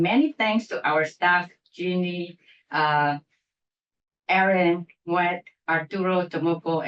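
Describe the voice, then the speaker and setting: A woman's voice: on the low side, fairly bright tone. A woman from Indonesia giving a prepared closing speech at an academic symposium, careful and restarting on long words. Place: lecture hall. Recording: echoey lecture hall, distant mic, muffled.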